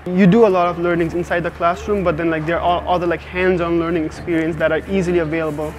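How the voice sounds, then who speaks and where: A man's voice: fairly high pitch, level tone, quick pace, slight background noise. A young man from Nepal with a Nepali accent, talking casually as a student in a promotional interview. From Kathmandu, Nepal. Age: young adult. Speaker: university student. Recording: faint music behind the voice.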